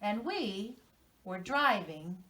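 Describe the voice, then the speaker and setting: Female preschool teacher, American, unhurried and highly animated storytelling for little learners, heard slightly away from the mic. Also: far from the mic. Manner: instructional, question-and-prompt delivery for children. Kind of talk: reading lesson and storytelling for young children.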